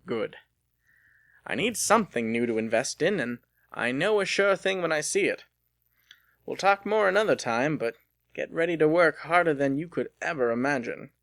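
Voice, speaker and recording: A man's voice: on the high side, animated, normal speed, a bit bright, no echo, voice pushed up. A stern, imposing wealthy father in a storybook tale, voiced by a male reader. He speaks with commanding, businesslike authority and firmly varied intonation, in a very dry recording. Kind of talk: dramatic audiobook character voice.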